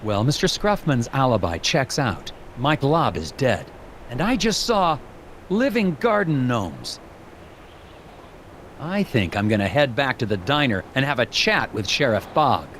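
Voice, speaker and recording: An actor playing an earnest, puzzled FBI agent in an animated game, hesitant yet matter-of-fact. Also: male voice, mid-range voice, a little slow, a little hiss or hum. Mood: inquisitive.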